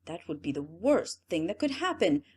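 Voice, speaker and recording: A woman's voice: on the low side, very animated, moderate pace, darkish tone, husky. A woman voicing a vain, anxious male emperor in a storybook, fretting to himself then praising aloud. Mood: worried. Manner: fretful muttering, then forced graciousness.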